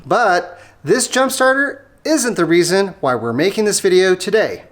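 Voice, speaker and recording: An American how-to host with a mid-pitched voice, explaining a hands-on job step by step in a casual, conversational way. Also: expressive, unhurried, heavy background noise. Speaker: host of an automotive how-to channel. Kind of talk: casual how-to tutorial narration.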